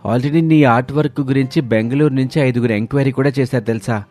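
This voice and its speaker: A man's voice: medium pitch, fast talker, a little mellow, faint music behind, lightly acted. Man playing an enterprising, supportive family man. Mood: eager. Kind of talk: moral story character acting.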